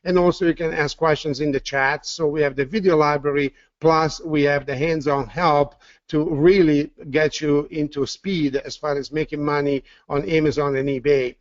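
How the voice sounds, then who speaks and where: Italian-accented man pitching with eager energy. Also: fairly even intonation, normal speed, dry recording. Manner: enthusiastic, repeats words with fillers. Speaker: webinar presenter. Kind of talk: sales pitch webinar. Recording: dull, dry lo-fi audio.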